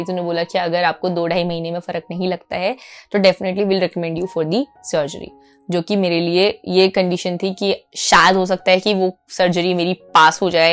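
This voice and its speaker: A woman's voice: on the low side, smooth. Emphatic Indian woman coaching over music. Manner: emphatic, repeats key phrases, frequent check-in questions; mixes Hindi with English phrases. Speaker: anchor giving voice coaching. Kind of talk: informal instructional video talk to viewers.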